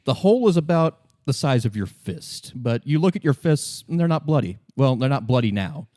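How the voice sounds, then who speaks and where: A man running a tabletop game as game master, narrating off the cuff in a halting, informal and wry way. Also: mid-range voice, big pitch swings, warm tone, no echo, clean studio sound.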